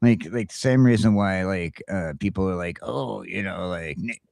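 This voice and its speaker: A man's voice: low-pitched, moderate pace, vocal fry, darkish tone, no room sound, clean studio sound. A man talking casually and bluntly as a talk show host, ranting with strong opinions, swearing and repeating himself. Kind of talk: casual opinionated chat about geek culture.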